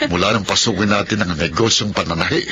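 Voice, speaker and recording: Radio drama happy family man; lowish pitch, clear noise behind him. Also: quick pace, bright, forceful tone. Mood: cheerful. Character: cheerful, easygoing husband and family man.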